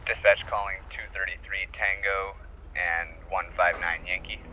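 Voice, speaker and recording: A man's voice: low voice, fairly even intonation, moderate pace, bright, forceful tone, rough recording. A man giving procedural police dispatch calls over a radio, as in online roleplay. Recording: radio channel over game vehicle noise.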